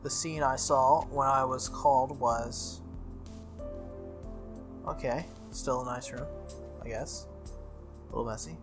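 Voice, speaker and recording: A male playthrough host reads a visual novel aloud in an animated manner and drops in informal asides. His voice sits at a middle pitch, with game music playing behind it. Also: slow pace, long pauses.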